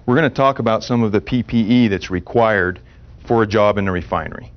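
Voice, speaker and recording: A man in his forties with an American accent playing an earnest, measured safety trainer in a scripted industrial training film. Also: on the low side, close to an everyday voice. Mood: earnest, instructive. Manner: instructive, measured, explanatory. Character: earnest, knowledgeable male mine safety trainer. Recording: dull audio under background music.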